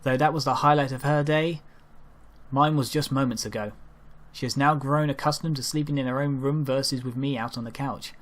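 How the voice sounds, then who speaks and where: A man's voice: mid-range voice, fairly even intonation, fairly quick. A man reading a first-person story aloud as an amateur narrator, sometimes stumbling over his lines. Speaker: amateur reader narrating a fan fiction story.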